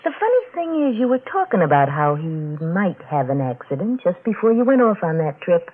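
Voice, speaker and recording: A woman's voice: fairly low pitch, sing-song, a little fast, breathy edge, phone-quality sound. A young woman playing a cool, sly, self-assured wife in a 1940s radio drama, silky and pointedly insinuating, on a thin old recording. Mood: sly, threatening. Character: cool, sly young wife, sure of herself, quietly threatening.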